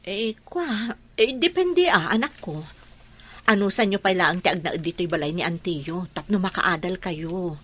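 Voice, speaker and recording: A woman playing a gentle, resigned rural mother in a radio drama, earnest and reassuring, with an Ilocano accent. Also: lowish voice, expressive, moderate pace, slightly rough. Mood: apologetic, reassuring. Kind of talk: Filipino radio drama acting.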